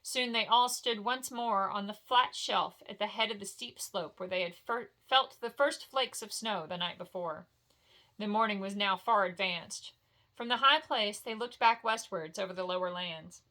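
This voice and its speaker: Female storyteller: bright voice, expressive fantasy narration, homely and informal, like a bedtime read-aloud. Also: medium pitch, normal speed. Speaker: storyteller reading a novel aloud.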